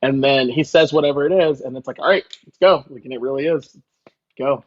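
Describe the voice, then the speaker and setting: A man who is a chef, chatting casually as a guest and telling stories in an animated way, acting out what people said. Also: mid-range voice, fairly quick, highs cut off. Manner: animated, acts out quoted remarks. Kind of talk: casual chat, storytelling.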